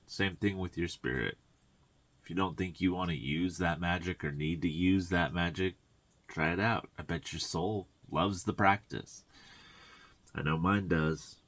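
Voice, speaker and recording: A man with a very deep voice talks informally as a spiritual guide and tarot reader. His delivery is a reflective coaching monologue, halting in its phrasing and sprinkled with colloquial asides. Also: a bit bright.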